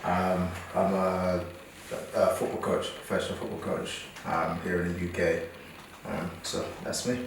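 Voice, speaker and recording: Man in his thirties, very deep voice, thoughtful and hesitant delivery, recorded off-mic in a cavernous, echoey room. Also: measured pace, gravelly. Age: 30s. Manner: thoughtful, hesitant "um"s, steady affirmations. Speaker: football coach, podcast co-host. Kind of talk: casual group discussion. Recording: echoey room, distant mic, faint noise.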